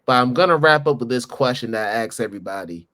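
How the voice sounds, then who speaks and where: A man's voice: medium pitch, lively intonation, fast, a bit bright. A man hosting a podcast interview, affirming his guest and moving to a closing question. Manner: affirming, wraps up with set question. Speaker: podcast host.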